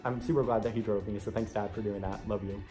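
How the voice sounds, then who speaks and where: A man's voice: lowish voice, steady tone, fast, warm tone, soft background music. A young American man telling a story casually to camera in a chatty, conversational way. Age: young adult. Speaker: vlogger sharing an audition experience.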